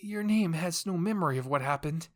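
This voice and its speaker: A man voicing a worried young male soldier in an anime fan story, halting and apologetic. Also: fairly high pitch, animated, pitched above the performer's own voice, close to an everyday voice. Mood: hesitant, worried.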